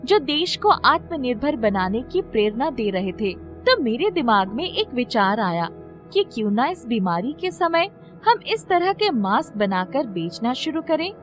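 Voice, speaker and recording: A woman's voice: a little high, sing-song, a little slow, soft background music, voice pushed up. A woman voicing an earnest, hopeful teenage daughter in a storybook tale, eagerly explaining herself. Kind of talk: storybook character voice.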